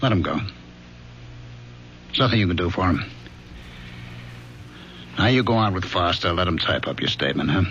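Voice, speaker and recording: An actor playing a firm, dry, world-weary police lieutenant in a radio drama. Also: male voice, deep voice, expressive, normal speed, gravelly, pauses often, a bit bright, heavily acted. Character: tough, fatherly middle-aged male police lieutenant. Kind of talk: 1970s radio drama acting. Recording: dull old radio broadcast with faint hiss.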